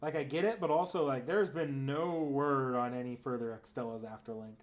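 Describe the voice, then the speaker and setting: A man with an average-pitched voice talks casually as a livestream host. He rambles through his thoughts, backing up and correcting himself as he answers his viewers. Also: fairly quick. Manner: rambling, thinking aloud with frequent self-corrections. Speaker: streamer and host.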